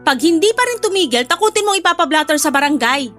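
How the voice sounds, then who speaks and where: A woman's voice: high voice, expressive, fast talker, sharp tone, soft background music. A woman playing a blunt, protective best friend with a sassy, scolding manner in an audio drama. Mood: blunt, teasing.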